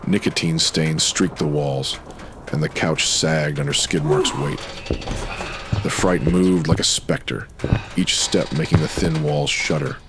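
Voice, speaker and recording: A man narrating a pulp noir audio drama in dramatic, brooding, measured phrases. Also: very deep voice, steady tone, noisy background.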